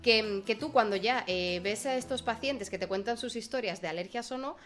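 A woman's voice: on the high side, moderate pace, soft background music. Chatty woman host. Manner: chatty, lively storyteller. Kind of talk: casual chat, storytelling. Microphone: video call.

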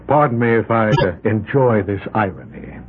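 An actor playing a shrewd lawyer in a 1970s radio drama, calm and dryly ironic as he questions people. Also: fairly low pitch, measured pace, breathy edge. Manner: calm, dryly ironic, cross-examines pointedly. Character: shrewd mainland lawyer, cool and probing. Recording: tinny lo-fi 1970s radio broadcast.

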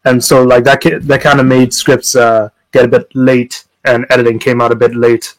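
A man's voice: mid-range voice, level tone, normal speed, poor audio. A man talking casually in a group chat about his channel, using lots of fillers and mild swearing. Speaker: YouTuber.

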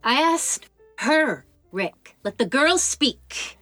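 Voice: high-pitched, sing-song, very slow, bright, forceful tone, no echo, soft background music, heavily acted. A performer playing a mocking, menacing underworld card-game boss in an audio drama. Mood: mocking menace.

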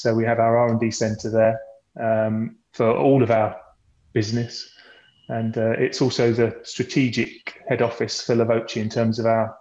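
A man's voice: medium pitch, a little mellow. A man speaking as a company representative and podcast guest, explaining the business in a conversational presentation style, often repeating words as he goes. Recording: boxy echoey room, dull lo-fi sound.

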